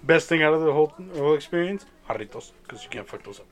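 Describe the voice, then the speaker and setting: A man chatting casually with friends, animated and profane, with Spanish as his first language. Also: fairly high pitch, fast. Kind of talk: casual chat among friends.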